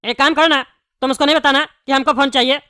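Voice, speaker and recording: A high-voiced performer playing a hot-tempered, scheming bald father in jail, scolding in Bihari-style Hindi for a comedy cartoon. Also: steady tone, fast talker, bright, forceful tone, dry recording, clean studio sound. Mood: irritated. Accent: eastern Indian (Bihari-style) Hindi. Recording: clearly noisy background.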